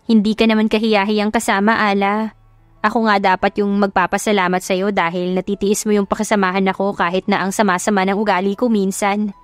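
A woman's voice: mid-range voice, level tone, brisk, no room sound, faint music behind, lightly acted. Woman voicing a spirited young rich lady, dry close sound. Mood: grateful, sincere. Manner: mixes English into her speech.

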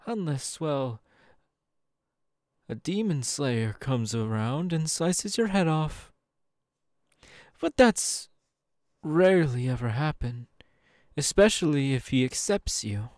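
A smug genteel demon, slow, lilting, falsely sympathetic, theatrical, ASMR roleplay, close dry sound. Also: male voice, fairly high pitch, expressive, a little mellow, dry recording. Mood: coaxing. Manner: slow, theatrical, drawn-out pauses.

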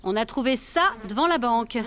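A woman playing a brisk, official policewoman in a cartoon. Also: a little high, lively intonation, background noise, natural voice. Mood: stern. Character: stern policewoman who turns apologetic and admiring. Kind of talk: cartoon voice acting. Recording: music behind.

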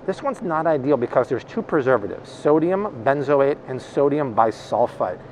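A man's voice: medium pitch, animated, normal speed, a little mellow, a little hiss or hum, low-quality recording. Upbeat man vlogging in a grocery store. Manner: upbeat, chatty product commentary. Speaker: host. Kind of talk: shop-along grocery vlog. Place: large grocery store, indoors. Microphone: phone held at arm's length.

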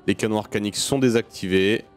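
Guy doing a let's play, lowish voice, sweary muttering, bit of game music behind. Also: fast. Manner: informal, sweary, muttering to himself. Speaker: gaming video host. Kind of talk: casual let's play commentary. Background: video game music.

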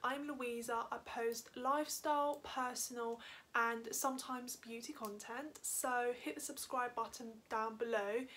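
A woman's voice: a little high, fairly even intonation, a bit bright, a little hiss or hum. A young woman in her late teens, a lifestyle vlogger, chatting upbeat to a camera in her bedroom about what she bought. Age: young adult. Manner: chatty, upbeat, quick asides. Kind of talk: casual haul vlog talk to camera. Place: bedroom, indoors. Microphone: camera on a stand in front of her.

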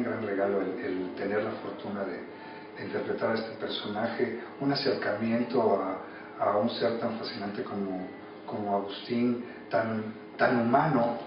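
A man's voice: on the low side, background noise. Mexican man in a very echoey room. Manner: halting, repeats words while searching. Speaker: interviewee, radio drama actor. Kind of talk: reflective interview answers. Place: small cluttered office. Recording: echoey room, distant mic, muffled, music behind.

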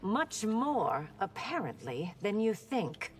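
A woman playing a poised, sharp-tongued warrior princess in a video game, cool and challenging. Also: medium pitch, sing-song, takes it slowly. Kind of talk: video game dramatic dialogue. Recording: clear music behind the voice.

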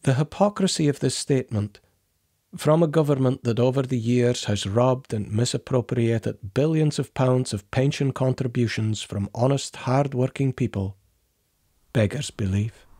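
A man giving a measured, indignant scripted documentary narration as a voice-over. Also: medium pitch, mellow, husky.

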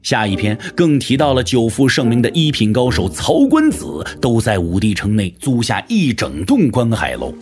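A man's voice: mid-range voice, wildly swooping pitch, normal speed, hardly any pauses, soft background music. A man narrating an audio drama from a script in a dramatic storytelling style.

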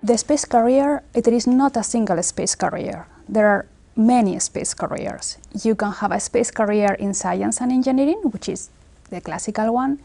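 A woman in her forties speaks English with a Spanish accent, her voice warm and mellow. She talks in a measured, earnest way, pausing often to find her words. She sits for an interview in an office. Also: a little high, creaky, soft background music. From Spain. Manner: measured, earnest, many filler pauses. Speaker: space agency engineer. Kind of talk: documentary interview. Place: office with large screen and window.

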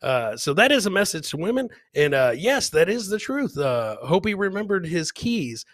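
A middle-aged man hosts a casual livestream on a close, dry mic. His voice is bright, forceful and a little high. He talks straight to his viewers with the phrasing of a hype announcer. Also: big pitch swings, moderate pace, dry recording. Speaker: host of a live clip-reaction show. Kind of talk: casual livestream chat and commentary. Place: indoor home streaming setup. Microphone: close boom microphone with headphones.

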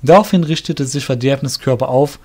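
A man narrating a scripted fantasy audio drama in a measured storybook manner. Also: medium pitch, darkish tone.

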